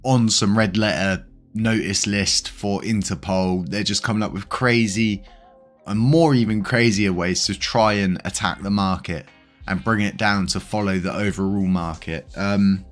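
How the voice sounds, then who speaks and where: A man's voice: fairly low pitch, fairly even intonation, a little slow, faint music behind. A British man hosting a crypto channel, talking in a rambling, casual way with lots of fillers. He is congested and slightly wheezy. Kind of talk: casual news commentary.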